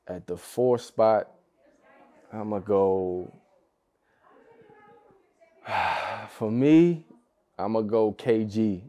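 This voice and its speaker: A man's voice: low voice, dark tone. A young American man, a basketball player guesting on a podcast, chatting in an easygoing way with lots of fillers and laughter. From United States. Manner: laid-back, easygoing, laughs often, loose phrasing with many fillers. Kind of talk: relaxed casual interview chat.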